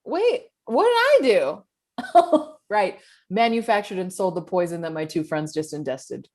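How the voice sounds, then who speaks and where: A woman acting as a flippant, self-serving young woman in a comedy table read, with breezy deadpan asides. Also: medium pitch, measured pace. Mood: flippant, sheepish.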